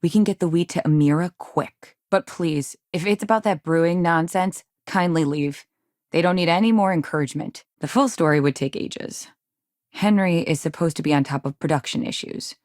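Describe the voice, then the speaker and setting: A woman's voice: on the low side, moderate pace, darkish tone, no room sound, clean studio sound, natural voice. A woman acting in a video game as a frustrated, ambitious businessman's wife in a frontier city, with a polished, earnest, exasperated manner. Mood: brisk, irritated.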